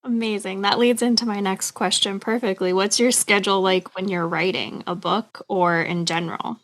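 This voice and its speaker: A woman's voice: mid-range voice, fairly even intonation, normal speed. A woman asking conversational questions as the host of an author interview. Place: remote call.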